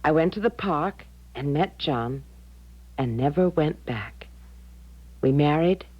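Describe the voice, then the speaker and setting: An actress playing an earnest, grateful married mother in a 1970s radio drama, speaking with deliberate conviction. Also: low-pitched, animated, heavily acted. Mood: tender, grateful. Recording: dull 1970s radio broadcast recording.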